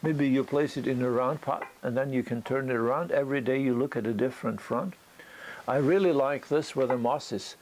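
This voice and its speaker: A man who speaks as a gives an unscripted critique as a teacher, thinking aloud haltingly with a whimsical storytelling streak. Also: medium pitch, darkish tone. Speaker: bonsai teacher giving tree critiques. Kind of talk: unscripted spoken critique and demonstration. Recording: noisy ambient location recording.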